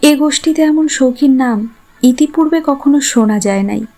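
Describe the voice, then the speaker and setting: A woman's voice: high voice, moderate pace, warm tone, soft background music. A woman reading a scripted literary story as its narrator. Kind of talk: scripted literary narration in formal Bengali prose.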